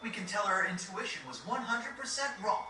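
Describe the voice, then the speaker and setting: A man playing a brash, excitable young teenage boy in a video game cutscene, speaking bluntly and casually. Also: high voice, fairly quick, reverberant, far from the mic, background noise.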